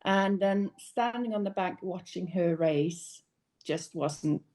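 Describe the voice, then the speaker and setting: A young woman from Norway, a guest on a podcast interview, talking casually and relaxed, laughing easily and restarting her sentences now and then. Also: on the low side, takes it slowly. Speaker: guest, powerboat racer.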